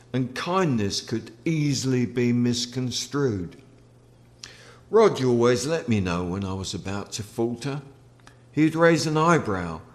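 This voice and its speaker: Slow, animated male storyteller. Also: fairly low pitch. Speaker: author reading from own novel. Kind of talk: literary audiobook-style narration.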